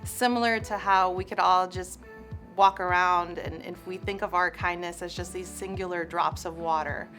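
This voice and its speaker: A woman giving a reflective interview-style answer, pausing as she builds her thoughts. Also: fairly low pitch, fairly even intonation, fairly bright tone. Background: music.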